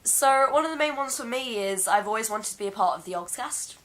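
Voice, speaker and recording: A young Englishwoman with a deep voice, hoarse from being ill, rambling through a casual vlog chat at home on a camera mic. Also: expressive, fairly quick, a crowd in the background. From England. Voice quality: deep for a woman. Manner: rambling, chatty, laughs often. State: hoarse from illness. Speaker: vlogger. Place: home room.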